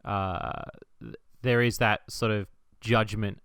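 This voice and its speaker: A man's voice: fairly low pitch, steady tone, takes it slowly, vocal fry, fairly bright tone, clear, resonant tone, dry recording, clean studio sound. A man hosting a casual interview, feeling his way through sentences and repeating words as he thinks. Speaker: interviewer, podcast host.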